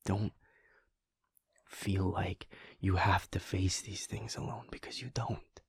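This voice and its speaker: A man voicing a caring adult werewolf boyfriend in an audio roleplay, talking earnestly and softly, often close to a whisper. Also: low-pitched, level tone, slow pace, vocal fry, breathy edge, dry recording, exaggerated voice. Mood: tender, reassuring.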